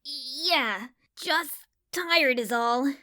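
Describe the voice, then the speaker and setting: Woman voicing an uneasy young tomboy filly, high-pitched. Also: extremely slow, pushed and bright, heavily acted. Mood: weary. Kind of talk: audio fan fiction character acting.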